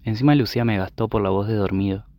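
Man acting as anxious Argentine male student, low voice, dry close recording, tired, radio drama. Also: fast talker, vocal fry, warm tone, no room sound. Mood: weary, uneasy. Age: young adult. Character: anxious, weary nineteen-year-old male university student.

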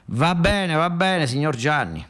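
Guy playing a sly southern italian waste crook, pitched up, eager, sucking up to clients, radio theatre. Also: big pitch swings, unhurried, a bit bright. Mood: bargaining. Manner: colloquial, obliging, wheeling and dealing. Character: cunning southern Italian waste trafficker, streetwise, eager, deferential.

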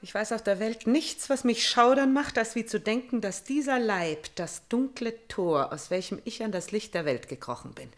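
An actress playing a haunted, vengeful young princess in a theatrical radio tragedy, declaiming intensely between hushed murmurs and outbursts. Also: female voice, a little high, animated, moderate pace, few pauses, close to an everyday voice. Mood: taunting, intense.

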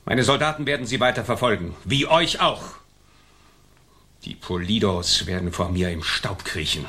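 Forceful, rough-voiced haughty governor. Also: male voice, on the low side, normal speed. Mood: angry, threatening. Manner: imperious, formal, scornful. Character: haughty, vindictive colonial governor, quick to rage. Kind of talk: German radio play acting.

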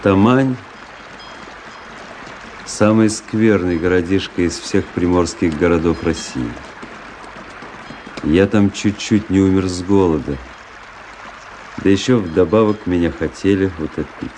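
A man's voice: sits low, slow pace, pauses often, darkish tone, slight background noise. An actor in a radio play playing a weary, impatient army officer who speaks curtly and tells his own story. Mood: weary, irritated. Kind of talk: radio play acting with first-person storytelling.

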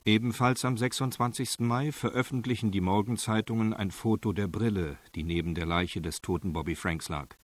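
An actor playing a sober, matter-of-fact crime narrator in a documentary-style radio play. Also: male voice, fairly low pitch, a little fast, few pauses, close to an everyday voice. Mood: sober, factual. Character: sober, factual male crime-story narrator.

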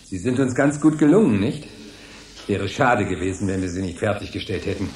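Actor as lively-toned proud laboratory man. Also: on the low side, fairly quick, close to an everyday voice. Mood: brisk, proud. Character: proud male laboratory host showing his androids. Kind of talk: radio play science fiction satire acting. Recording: echoey room, background noise.

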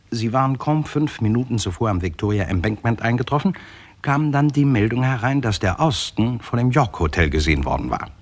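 An actor narrating a 1960s German radio crime play in a measured, dryly ironic manner. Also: male voice, lowish voice. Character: dry, wry male narrator of a detective story.

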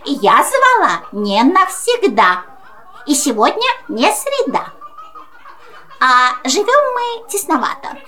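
A woman's voice: high voice, huge pitch swings, takes it slowly, sharp tone, slight background noise, heavily acted. A woman playing a fussy, apologetic mother hen, clucking between rhymed lines in a children's audio play. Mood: flustered excuses. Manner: clucking, gossipy.

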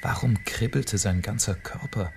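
A man's voice: lowish voice, normal speed, gravelly, darkish tone, in a whisper. A man narrating a scripted fairy tale as the storyteller of a radio play. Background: music.

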